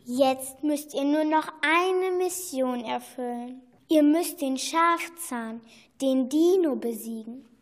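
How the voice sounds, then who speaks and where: A helpful fairy acted by a woman speaks in a high, encouraging voice. She takes her time, guiding listeners through a children's radio play with deliberate phrasing. Long reverb surrounds her. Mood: urging, solemn. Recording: long echoing hall reverb.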